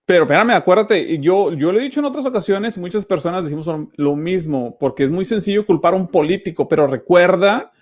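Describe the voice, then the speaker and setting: A man's voice: high-pitched, expressive, brisk, few pauses. A man hosting a talk show, speaking in a plain-spoken, conversational way and often cutting in. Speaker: host. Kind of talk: interview commentary.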